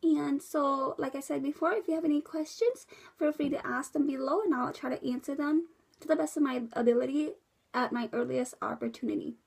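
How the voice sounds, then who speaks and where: A woman's voice: sits high, steady tone, brisk, creaky. A young woman from the US Midwest, congested from a cold, giving a rambling casual update to camera with many fillers. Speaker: vlogger.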